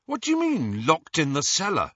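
A man's voice: a little high, huge pitch swings, moderate pace, a bit bright, slightly rough, no echo, highs cut off, voice pushed up, heavily acted. A man voicing an earnest teenage boy in a storybook, puzzled and insistent. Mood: shocked.

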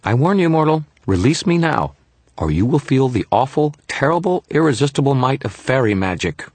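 A man voicing a tiny, childlike male fairy with a high little-kid voice, haughty, greedy and full of indignant complaint in an audiobook story. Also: sing-song, normal speed, darkish tone, breathy edge, dry recording. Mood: haughty, threatening. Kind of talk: audiobook character voice.

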